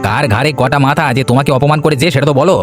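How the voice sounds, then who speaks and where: A man's voice: on the high side, steady tone, rapid-fire, close to an everyday voice. A man voicing a meek, henpecked village husband in a cartoon, sounding submissive. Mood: questioning. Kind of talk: Bengali cartoon dialogue. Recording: music under the dialogue.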